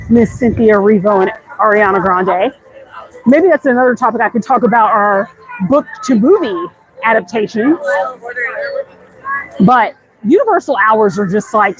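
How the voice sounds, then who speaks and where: A woman's voice: on the high side, rough recording. An American woman hosting a casual walking livestream, chatty and enthusiastic, slangy in the way she addresses viewers. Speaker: vlog host. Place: walking outdoors in a busy theme park. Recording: live outdoors with clear background noise. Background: theme park ambience.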